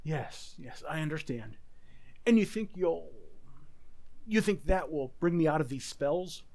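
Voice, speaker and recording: An actor playing an anxious, tormented businessman in a radio thriller, hesitant and halting but emphatic. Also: on the high side, expressive, a little mellow, no room sound. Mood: subdued, grateful. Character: anxious, tormented businessman confessing dark urges. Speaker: community theater actor. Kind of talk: old-time radio thriller acting.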